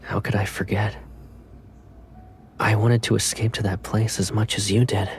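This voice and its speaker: An actor playing a tired, tender young man in a video game, soft and breathy, guarded but gently teasing. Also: on the low side, level tone, moderate pace, slight background noise, lightly acted. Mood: subdued, tender. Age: young adult. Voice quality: soft, breathy, partly whispered. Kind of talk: intimate scripted game dialogue.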